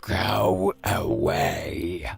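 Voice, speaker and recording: An unfriendly hidden door-dweller in a cartoon adventure game. The voice is deep, hoarse and thick with gravel, drawn out extremely slowly. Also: male voice, fairly even intonation, faint music behind, heavily acted. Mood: menacing, dismissive. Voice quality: croaking, very gravelly. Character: unwelcoming unseen stranger behind a door. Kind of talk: animated adventure game acting.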